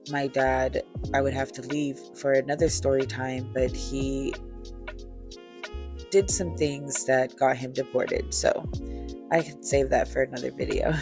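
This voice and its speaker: A woman in her thirties chatting casually and relaxed, with easy fillers and light laughter, telling a storytime while beading. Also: very deep voice. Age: 30s. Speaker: crafter and vlogger. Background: faint chill music.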